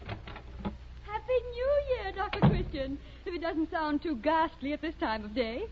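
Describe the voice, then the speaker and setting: An actress playing a spoiled young socialite in a 1930s radio drama, with an affected, breezy and snobbish manner. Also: female voice, extremely high pitch, expressive, unhurried, heavy background noise. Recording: muffled 1930s radio recording.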